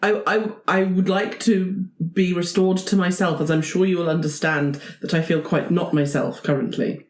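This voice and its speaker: A man's voice: high-pitched, fairly even intonation, moderate pace. A courteous, stuffy old-world knight, played by a man in a fantasy roleplaying game. He chooses formal words and hesitates politely. The room echo is strong. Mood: polite discomfort. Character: polite, stuffy male knight of yore, shrunken and sticky. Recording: echoey room.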